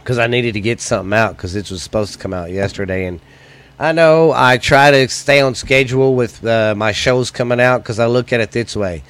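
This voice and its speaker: A man's voice: mid-range voice, fairly quick, a bit bright. A man with an American accent hosting a solo podcast in casual, crude comic chat, blunt and loose, his voice slightly weak and stuffy. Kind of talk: casual, profane comedy chat.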